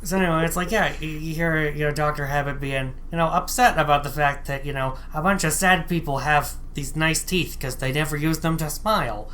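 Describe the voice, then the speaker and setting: Woman, notably low pitch, wry, casual game summary, conversational, faint background noise. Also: brisk, few pauses, a bit bright. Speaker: podcast co-host.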